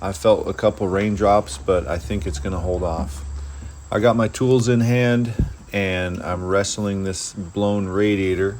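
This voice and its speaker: Deep-voiced man narrating his own story. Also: unhurried, a little mellow, a little hiss or hum. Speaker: diesel mechanic telling his own story. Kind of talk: first-person storytelling narration.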